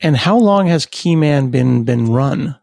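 A man's voice: medium pitch, animated, unhurried, gravelly, a little mellow, dry recording, studio quality. A man hosting an interview podcast, talking in a conversational presenter's way. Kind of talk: conversational tech interview with sponsor read.

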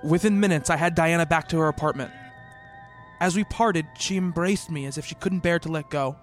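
An actor playing an earnest, devoted boyfriend who narrates a horror radio play in measured, formal, literary language. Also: male voice, fairly high pitch, lightly acted. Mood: measured, brooding. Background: music.

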